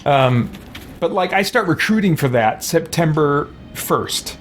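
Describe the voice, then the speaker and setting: A man's voice: on the high side, expressive, a little slow, faint music behind. A man giving casual, rambling commentary as he streams a video game. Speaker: streamer and host of a game let's-play.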